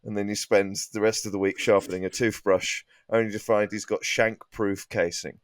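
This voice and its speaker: A male comedian talks as a podcast guest in a lowish voice, recorded very dry. He builds comic rants in a halting, mock-exasperated way, restarting as he goes. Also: flat delivery, no echo. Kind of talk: comic ranting in casual panel chat.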